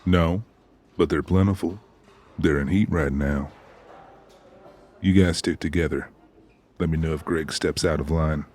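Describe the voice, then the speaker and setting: A man's voice: extremely low pitch, steady tone, a little slow, pauses often, a little mellow. A man playing a guarded, laconic lone-wolf older brother in an audiobook, terse and stoic. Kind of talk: audiobook character acting.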